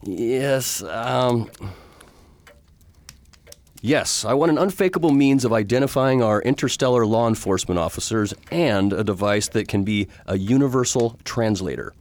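A man's voice: medium pitch, moderate pace, a little mellow, close to an everyday voice. A man playing a courteous, earnest middle-aged space lawman in a science fiction radio drama. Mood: polite, wondering.

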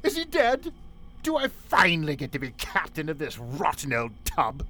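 A man's voice: a little high, wildly swooping pitch, normal speed, bright, forceful tone, full character voice. A man playing an irritable, ambitious starship first officer in a comic radio play. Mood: excited, irritated. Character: irritable, scheming first officer, male, hungry for command. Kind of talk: parody radio play acting.

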